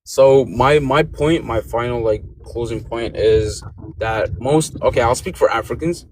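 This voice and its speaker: A man's voice: medium pitch, unhurried, a little hiss or hum, rough recording. A man from Sudan, Arabic his first language, speaking English with an accent, hesitant with many fillers as a call-in guest. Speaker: guest caller. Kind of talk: debate call-in.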